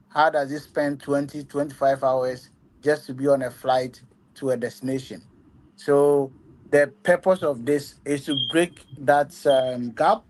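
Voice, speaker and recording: A man's voice: medium pitch, a little mellow, poor audio. A man from Ghana, a company founder answering interview questions earnestly, with many ums. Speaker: travel agency founder.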